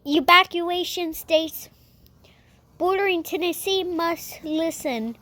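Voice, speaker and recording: Woman, very high voice, slow and halting delivery, amateur emergency-broadcast announcer repeating her phrases. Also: sharp tone, dry recording. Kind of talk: scripted mock emergency alert announcement.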